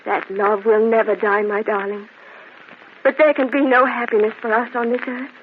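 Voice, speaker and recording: An actress in an old-time radio drama playing an anguished, devoted wife of a cruel husband, speaking with melodramatic pleading. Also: female voice, fairly high pitch, flat delivery, moderate pace, lightly acted. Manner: melodramatic, breathless pleading and exclaiming. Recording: muffled, noisy old radio drama recording.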